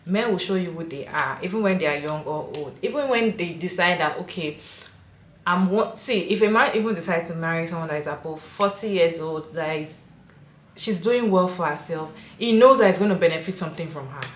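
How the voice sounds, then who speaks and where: A woman's voice: lowish voice, brisk, echoey room, a little hiss or hum. A woman hosting a video, giving her opinion emphatically and asking viewers questions. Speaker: video host and commentator. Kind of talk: casual commentary to viewers.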